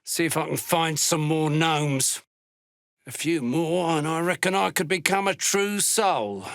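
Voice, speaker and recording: Gravelly, menacing dark dwarf slave hunter. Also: male voice, a little high, a little slow, dry recording, studio quality. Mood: gruff, curt. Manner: curt, threatening, contemptuous. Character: gruff, menacing duergar slave hunter, fanatical cultist. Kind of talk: video game character voice lines.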